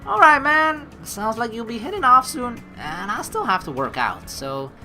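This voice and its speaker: A man's voice: extremely high pitch, very animated, normal speed, music in the background, poor audio. A man hosting a let's play, reading story text aloud and adding casual, rambling, slangy commentary with some swearing. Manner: rambling, slangy, exasperated asides, profane.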